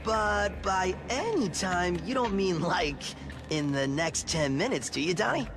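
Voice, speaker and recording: An actor playing a goofy, laid-back teenage turtle who drawls in surfer slang. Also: male voice, high-pitched, animated, a little slow, gravelly, music in the background, exaggerated voice.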